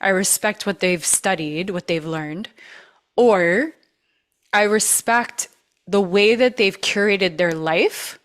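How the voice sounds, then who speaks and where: A woman's voice: medium pitch, takes it slowly. A woman with a trained voice, hosting a masterclass and coaching in a casual, earnest way, leaning hard on repeated words. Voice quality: vocally trained. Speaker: masterclass host.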